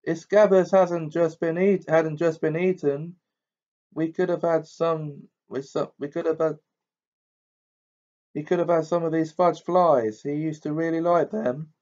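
Brief: resentful schoolboy voiced by a male reader, raised pitch, dull lo-fi sound. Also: a little slow, a little mellow, lightly acted. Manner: blunt, resentful. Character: sulky stubborn teenage boy. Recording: muffled lo-fi recording.

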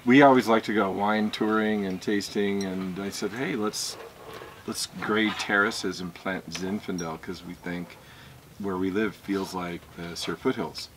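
A middle-aged man with a deep, low voice tells his winemaking story in an unhurried, rambling way. He sits out on a vineyard patio, caught by a camera mic a few metres away, with a faint outdoor ambience behind him. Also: steady tone, background noise. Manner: easygoing, meandering, with fillers and laughs. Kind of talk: relaxed storytelling interview.

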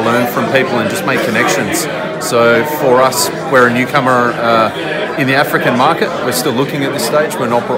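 A man in his forties talking upbeat and a little hesitantly at a networking event, recorded close on a phone in a large room. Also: mid-range voice. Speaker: logistics company representative. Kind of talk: casual vox pop interview. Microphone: phone held close, selfie framing. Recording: loud crowd chatter behind.

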